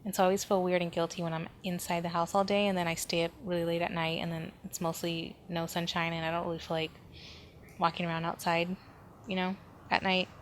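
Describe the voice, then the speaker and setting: A woman's voice: fairly low pitch, level tone, fast. Woman rambling casually over background noise. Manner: rambling, thinking aloud, informal. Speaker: podcast host. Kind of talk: casual solo podcast monologue. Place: indoors at home, near street noise. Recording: background noise, ambient room sound.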